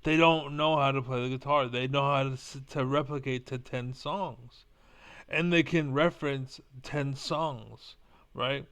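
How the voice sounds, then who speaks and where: A man's voice: mid-range voice, steady tone, measured pace, fairly bright tone, smooth. A young man with Spanish as his first language, rambling bluntly in a casual monologue and swearing now and then. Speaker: vlogger.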